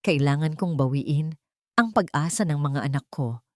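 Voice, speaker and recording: A woman's voice: deep voice, expressive, moderate pace, no echo, clean studio sound. A woman voicing a remorseful widowed father, earnest and regretful. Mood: determined.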